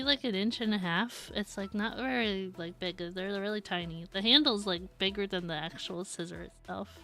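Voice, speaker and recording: A woman's voice: mid-range voice, fairly bright tone. A woman streaming a game who chats casually with viewers, giggling often and saying "like" a lot. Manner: chatty, giggly, lots of "like". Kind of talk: casual live-stream chat. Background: faint game music.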